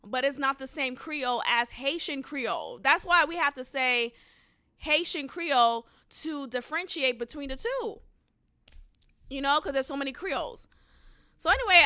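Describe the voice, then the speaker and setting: A young woman podcast host telling a story in a casual, emphatic and animated way, often swearing. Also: high-pitched, smooth, no room sound, studio quality. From United States.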